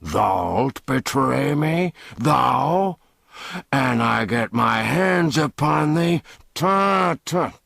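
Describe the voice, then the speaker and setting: A man's voice: mid-range voice, sing-song, very slow, gravelly, fairly bright tone, dry recording, studio quality, pitched above the performer's own voice, exaggerated voice. A man voicing a coarse, violent ruffian father who blusters and threatens. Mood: menacing. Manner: blustering, menacing.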